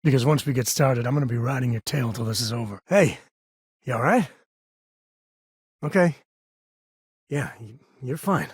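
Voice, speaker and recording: Guy voicing an easygoing space ranger dad for a game, kinda husky, wry folksy drawl, super dry sound. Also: medium pitch, moderate pace, long pauses, no echo, lightly acted. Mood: casual. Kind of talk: video game voice acting.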